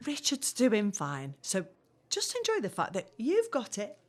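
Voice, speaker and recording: Animated, reassuring young 1950s daughter. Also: female voice, fairly high pitch, a little slow, heavily acted. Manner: gently coaxing, reassuring. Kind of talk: audio drama dialogue.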